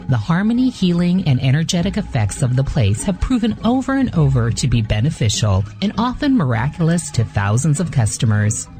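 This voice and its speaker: An announcer reading a radio ad as an enthusiastic sales pitch. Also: mellow. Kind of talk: scripted radio ad read. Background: music.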